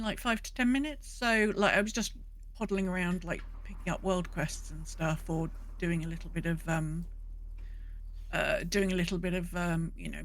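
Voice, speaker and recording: A woman's voice: lowish voice, fairly bright tone. A woman hosting a casual gaming podcast, talking in a rambling way and often interrupting herself. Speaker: podcast host.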